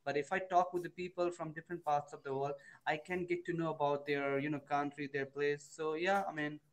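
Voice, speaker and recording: A man's voice: a little high, level tone, a little fast, rough recording. A young man from India with a Bihari Indian accent, a student practicing English on a video call, hesitant and full of fillers like "you know" and "I mean". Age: young adult. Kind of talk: casual conversation lesson.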